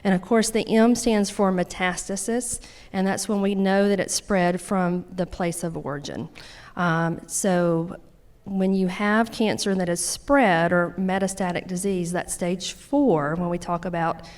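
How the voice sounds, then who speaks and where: A woman's voice: fairly low pitch, fairly even intonation, unhurried. A woman from Louisiana with a Louisiana Southern accent, a nurse practitioner giving a folksy conference talk that explains with everyday examples. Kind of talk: conference presentation. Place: conference hall.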